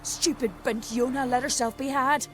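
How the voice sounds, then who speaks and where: An actress playing a proud, scorned village herbalist in a fantasy game, vengeful and scornful, with rustic old-fashioned speech. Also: female voice, sits high, fairly even intonation, normal speed, faint music behind, natural voice. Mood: bitter, vengeful. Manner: rustic, archaic wording, scornful.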